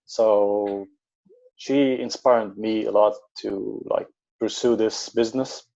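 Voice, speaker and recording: A man's voice: lowish voice, fairly even intonation, slow pace, vocal fry, dark tone, muffled recording. A man from Egypt with an Egyptian accent, speaking English as a guest photographer, talking in a hesitant way with many fillers. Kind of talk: casual interview chat.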